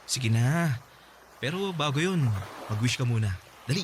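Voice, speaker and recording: A man's voice: medium pitch, animated, unhurried, natural voice. A man with a Filipino accent acting a sheepish, coaxing young boyfriend in a radio drama, pleading and cajoling. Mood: cheerful coaxing. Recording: noisy ambient background.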